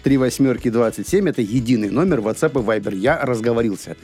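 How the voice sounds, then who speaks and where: A man hosting a morning radio show, hoarse from a cold, chatting and joking in a studio. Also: medium pitch, lively intonation, fast talker, warm tone, faint music behind. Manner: jokey, chatty. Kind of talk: casual radio banter.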